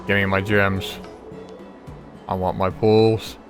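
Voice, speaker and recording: Low-voiced man casually commenting on a game. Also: flat delivery, slow. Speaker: gamer playing a video game. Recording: game music behind the voice.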